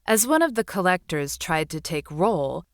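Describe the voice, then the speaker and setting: A woman storyteller narrating bedtime stories for children in a soothing, deliberate, expressive manner. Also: fairly low pitch, no echo, studio quality.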